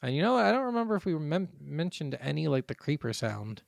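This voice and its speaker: A man's voice: on the high side, big pitch swings, quick pace, vocal fry, no room sound, studio quality. A man co-hosting a podcast in casual banter about video games, rambling, joking and swearing freely. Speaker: podcast co-host.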